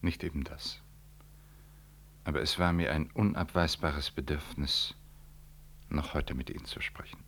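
Very deep-voiced, solemn priest. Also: male voice, a bit bright, heavily acted. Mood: solemn, guarded. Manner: deliberate, ceremonious. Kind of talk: German 1960s radio drama acting. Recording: 1960s radio recording, faint noise.

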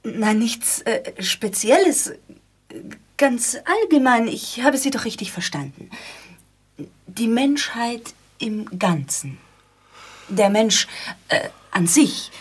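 Radio play: insistent, fussy interviewer, very measured pace, breathy husky voice, precise and halting. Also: female voice, a little high, full character voice. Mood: halting, careful. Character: exacting, fussy female interviewer struggling with a deaf guest. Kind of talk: German radio play acting.

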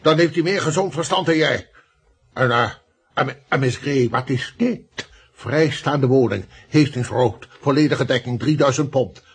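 Very raspy, blustering grumpy boss. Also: male voice, mid-range voice, a little slow. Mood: sarcastic, incredulous. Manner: blustering, sarcastic, incredulous. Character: grumpy, exasperated male estate agency boss, Mister Mumford. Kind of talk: Dutch radio play comedy acting.

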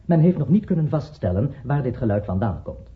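A man's voice: mid-range voice, very animated, fairly quick, lightly acted. An actor playing a formal male news reader delivering an official bulletin in a radio drama. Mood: formal, factual. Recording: thin, phone-like old radio sound.

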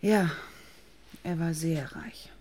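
An actress playing a guarded, composed wife in a radio play, with a restrained manner. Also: female voice, lowish voice, takes it slowly, warm tone, slight background noise. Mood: subdued.

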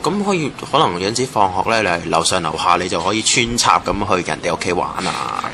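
A man's voice: deep voice, sing-song, quick pace, pushed and bright. A man with a Hong Kong Cantonese accent, casually sharing recollections as an interviewee. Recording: noisy ambient location recording.

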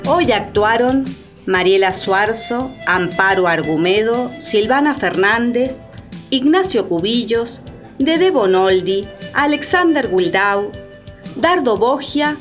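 A woman reads scripted radio theatre narration slowly. Her pitch is fairly high and moves in lively swings. Soft music sits underneath. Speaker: narrator, credits announcer. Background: light music.

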